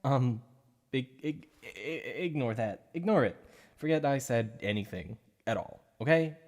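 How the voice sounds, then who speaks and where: Shy obsessive supervillain, male, a man acting it out; soft-spoken ASMR roleplay, stammers over slips, mid-range pitch. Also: expressive, unhurried. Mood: flustered. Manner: flustered, stammering slips of the tongue.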